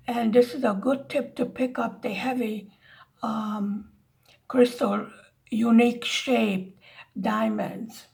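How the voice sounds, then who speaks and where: A woman's voice: a little high, creaky, a little mellow. A woman with a slight Hungarian-American accent, chatting casually and rambling to viewers while she demonstrates a craft. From United States. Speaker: craft vlogger.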